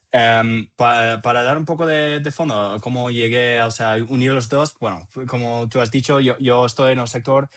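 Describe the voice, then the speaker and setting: Man, thirties, American accent, native English speaker, mid-pitched voice, hesitant conversational delivery, repeated words. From Atlanta, southeastern United States. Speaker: company founder and CEO, interview guest. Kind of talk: conversational interview answers.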